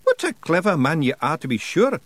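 Storybook fairy fighter: tiny, brash, cunning Scot, raised pitch, very animated, dry sound. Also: male voice, fairly quick, no echo. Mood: brash, sly. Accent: Scottish. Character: brash, cunning, boisterous little male Scottish fairy warrior.

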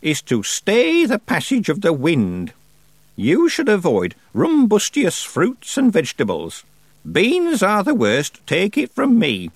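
Storybook: a man doing a very old sly witch woman, raised pitch, slow lofty drawl, very dry close sound. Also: huge pitch swings, slightly rough, no room sound, full character voice. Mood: mock-solemn. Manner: slow, drawn-out, lofty delivery. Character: ancient stern female witch, sly and dry-humored.

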